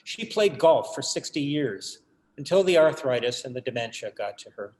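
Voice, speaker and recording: An actor in a staged reading, playing a bereaved writer son who tells his family story in a measured, literary, wry way. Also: medium pitch, lively intonation, a little mellow, lightly acted, rough recording. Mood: wry, nostalgic. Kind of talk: dramatic monologue in a staged reading.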